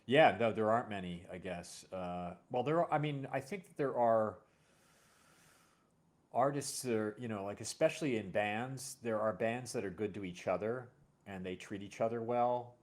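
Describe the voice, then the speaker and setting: Guy with a lowish voice just chatting about music, kinda rambling, host of the show. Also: moderate pace.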